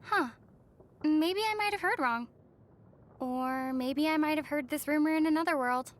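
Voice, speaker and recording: A woman playing a gentle, thoughtful young female traveler from another world in scripted anime game dialogue. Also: sits high, moderate pace, close to an everyday voice. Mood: musing.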